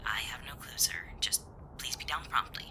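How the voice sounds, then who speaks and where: A man's voice: mid-range voice, normal speed, pushed and bright. Man putting on a whispery, prim front desk clerk. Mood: dry, impatient. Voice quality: breathy, whispered. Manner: formal, dryly dismissive, hesitant uhs. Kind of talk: ASMR roleplay voice acting.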